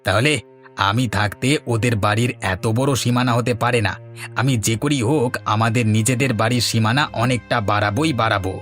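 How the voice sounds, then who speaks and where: A man's voice: mid-range voice, steady tone, normal speed, a bit bright, lightly acted. A man voicing a greedy, scheming village husband in a cartoon, with a domineering, emphatic manner. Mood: scheming, spiteful. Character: greedy, spiteful village husband plotting against neighbours. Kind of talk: cartoon voice acting. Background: background music.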